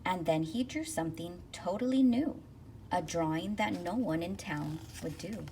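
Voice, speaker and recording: A woman with a slightly low voice reads a children's picture book aloud. She phrases the rhyming verse expressively and deliberately. The microphone sits a little way off, with faint noise behind her. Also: mellow. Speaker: school counselor reading aloud. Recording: distant mic with faint noise.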